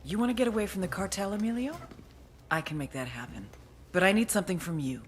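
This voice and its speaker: An actress playing a tough, sardonic CIA handler in a video game cutscene, foul-mouthed and coolly in control. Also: female voice, mid-range voice, animated, fast, slight background noise, lightly acted. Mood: coolly persuasive. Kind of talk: cinematic game cutscene acting, briefing narration. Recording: music behind the voice.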